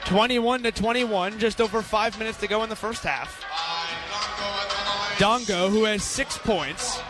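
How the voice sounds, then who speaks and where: A man's voice: high voice, measured pace, over music. An American man doing live radio play-by-play of a basketball game, projecting like an announcer. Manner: projecting over crowd, announcing. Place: courtside in an indoor basketball arena. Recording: loud arena crowd noise, bouncing balls. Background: crowd, ball bounces.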